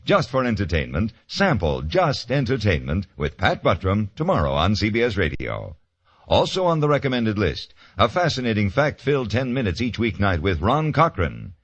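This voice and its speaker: A male network announcer in 1950s radio style, polished and animated, with a low voice. The recording is dry and somewhat dull. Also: normal speed, no room sound.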